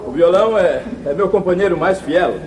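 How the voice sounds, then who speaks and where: High-voiced wandering singer. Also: male voice, lively intonation, a little fast, a little mellow, poor audio. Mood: modest, weary. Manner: polite but firm. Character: likable wandering young male singer, loyal and unafraid. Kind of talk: 1950s radio serial acting.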